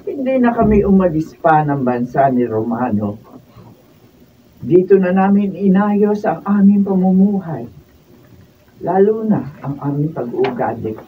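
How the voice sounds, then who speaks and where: A woman's voice: on the low side, very animated, unhurried, distant mic, phone-quality sound, a little hiss or hum. A woman playing a devastated, remorseful wife and mother who lost everything, in an emotional Filipino radio drama style. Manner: emotional, melodramatic delivery. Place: radio drama recording session.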